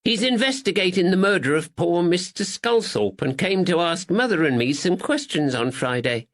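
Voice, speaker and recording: A man's voice: high-pitched, lively intonation, normal speed, pitched above the performer's own voice. A man voicing a kind, timid older spinster who speaks eagerly and gushes.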